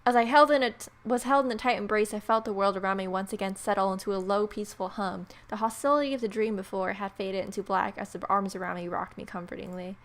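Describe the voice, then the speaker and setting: A woman's voice: mid-range voice, steady tone, quick pace, few pauses. A woman hosting a let's play, reading game narration expressively and adding playful, wry casual asides. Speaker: gamer, video host.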